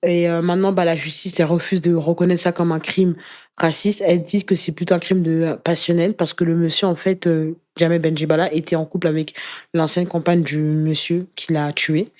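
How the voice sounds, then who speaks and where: An activist woman speaks as a guest in a relaxed interview. Her voice sits fairly low and has a mellow, warm tone. She talks earnestly about personal commitments, often pausing and repeating small words while searching for phrasing. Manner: halting, searching for words with repetitions. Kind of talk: personal testimony in casual conversation.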